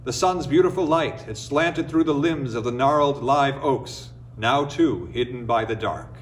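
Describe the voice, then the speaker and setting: A man reading a folktale as a storyteller, with expressive, dramatic and measured phrasing. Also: mid-range voice, recorded from a distance. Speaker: storyteller, reader. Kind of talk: expressive scripted folktale narration.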